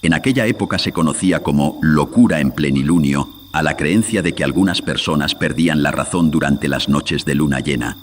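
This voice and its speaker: A man's voice: very deep voice, brisk, slight background noise. A man giving solemn, literary narration of a horror tale, his voice processed with studio filters. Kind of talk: scripted horror story narration.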